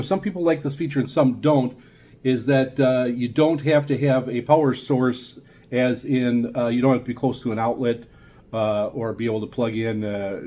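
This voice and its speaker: A middle-aged man giving a casual, unscripted equipment review as a video host, explaining in a halting, repetitive way. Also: medium pitch, darkish tone, slight background noise. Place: small indoor room at a radio desk.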